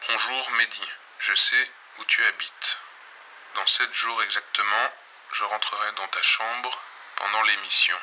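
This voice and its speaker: A man playing an irreverent, weary pirate radio host in an audio fiction, talking in an offhand, sardonic, halting and slangy late-night monologue. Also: on the low side, steady tone, bright, forceful tone, a little hiss or hum, poor audio. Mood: measured, reading aloud.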